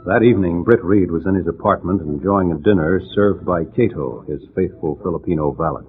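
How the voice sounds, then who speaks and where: A man's voice: sits low, brisk, close to an everyday voice. A man narrating a scripted 1940s radio drama as its announcer. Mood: measured. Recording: tinny old radio recording with music.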